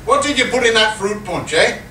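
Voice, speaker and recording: A man's voice: sits high, animated, brisk, bright, forceful tone. A man acting a pompous, blustering church treasurer in an amateur radio comedy, stammering and pedantic. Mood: irritated. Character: pompous, flustered church treasurer who turns snappish. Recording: echoey hall, distant, noisy audience.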